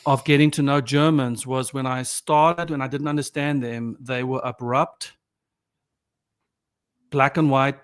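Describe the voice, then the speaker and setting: Reflective South African man, native Afrikaans speaker. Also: medium pitch. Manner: reflective, halting with frequent restarts. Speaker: podcast guest. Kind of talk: casual conversation.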